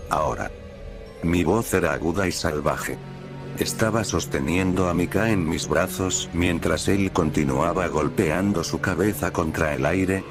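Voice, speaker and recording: A man narrating a scripted first-person horror story as a storyteller. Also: sits low. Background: prominent music bed.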